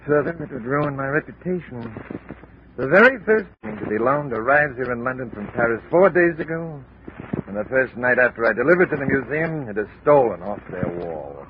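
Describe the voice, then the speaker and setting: A man plays a pompous, earnest gentleman of the art world in an old radio drama. He talks formally and at a quick clip, through a thin, noisy vintage recording. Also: medium pitch, very animated, narrow, tinny audio, lightly acted. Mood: grave, urgent. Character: formal, earnest, self-important male art exhibition organizer. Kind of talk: 1950s radio drama acting.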